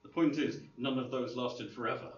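A man's voice: mid-range voice, steady tone, moderate pace. A man giving an informal lecture, rambling with many fillers and restarts, with dry humor. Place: classroom. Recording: roomy, distant, dull lo-fi room recording with faint hiss.

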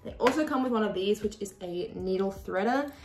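A woman's voice: medium pitch, fairly quick, echoey room, a little hiss or hum. A woman, chatting to camera as she hosts a sewing tutorial from her desk. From Australia. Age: 20s. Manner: chatty, expressive hand gestures. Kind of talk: casual tutorial talk to camera. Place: small room at a desk with a sewing machine.

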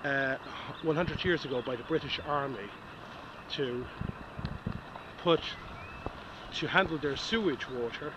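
A middle-aged man explains things during an outdoor tour, pausing and restarting as he goes. His voice is mid-pitched. The camera audio is slightly dull, and clear background noise runs underneath. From Ireland. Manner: hesitant, explains with fillers and restarts. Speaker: company managing director giving a tour. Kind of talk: casual explanatory tour talk. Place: outdoors by a reed-bed pond.

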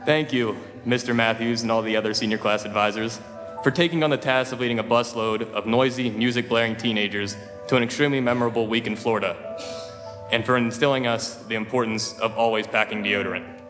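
A teenage boy speaks at a podium microphone on a large auditorium stage, with a dry, joking, lightly self-mocking delivery. His voice rings out with a long reverb. Soft music plays underneath him. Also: mid-range voice, fairly even intonation, a little fast. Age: teens. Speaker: valedictorian giving graduation speech. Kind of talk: humorous speech at a podium. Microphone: podium microphone, filmed from far.

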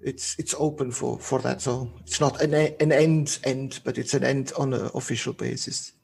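Gravelly Portuguese man with Swiss accent. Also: mid-range voice. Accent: Swiss German. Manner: halting, restarts phrases, relaxed. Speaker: guest. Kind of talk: casual podcast interview.

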